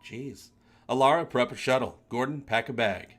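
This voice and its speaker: A man's voice: mid-range voice, normal speed, a bit bright, lightly acted. A man playing a weary, touchy starship captain in a sci-fi comic read-along, grumbling and dryly defensive. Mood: curt, grumbling.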